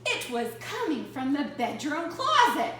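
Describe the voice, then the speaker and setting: A woman reading a children's picture book aloud in an expressive, dramatic way as a storyteller. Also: high-pitched, unhurried. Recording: very echoey room, distant mic, faint noise.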